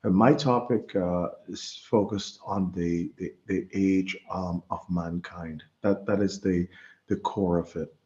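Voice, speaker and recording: A middle-aged man from the Bahamas giving an informal religious talk online, speaking haltingly and often repeating words as he goes. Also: low-pitched, fairly even intonation, mellow, lo-fi sound. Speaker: guest presenter. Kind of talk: informal religious lecture over an online meeting.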